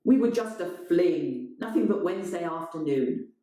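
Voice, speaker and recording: A woman's voice: on the low side, lively intonation, moderate pace, dark tone, close to an everyday voice. A woman voicing an angry, sneering man in a dramatised short story, indignant and accusing. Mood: scornful. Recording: strongly echoing, roomy sound.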